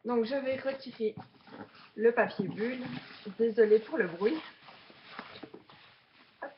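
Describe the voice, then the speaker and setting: A woman's voice: a little high, slow, dull audio, a little hiss or hum. A woman of twenty-seven from Belgium, chatting casually to viewers as a beginner vlogger during an unboxing. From Belgium, Charleroi region.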